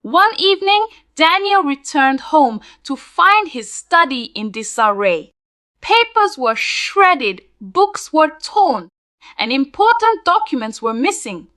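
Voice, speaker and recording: A woman narrating a spooky scripted tale in a dramatic, deliberate storytelling manner. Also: sits high, big pitch swings, sharp tone, no echo, clean studio sound.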